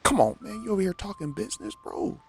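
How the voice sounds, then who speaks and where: A man giving casual live commentary on a game in a slangy, joking way, with a gravelly voice. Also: sits high, very animated, fast, a little mellow, soft background music. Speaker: game streamer.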